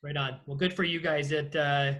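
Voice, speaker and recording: Man, American accent, interview host, bright forward tone, slightly high pitch, conversational. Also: flat delivery, normal speed. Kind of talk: casual interview. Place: remote video call. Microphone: video call.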